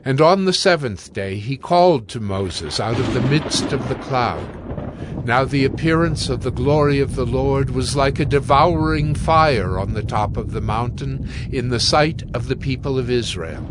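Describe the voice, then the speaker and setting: A man narrating scripture from a script in a measured, formal manner. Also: mid-range voice, noisy background. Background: faint background music.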